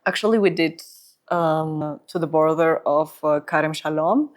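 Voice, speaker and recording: A woman's voice: deep voice, a little slow. A woman from Ecuador with an Ecuadorian Spanish accent, telling personal stories as an interview guest. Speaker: podcast guest, humanitarian psychologist.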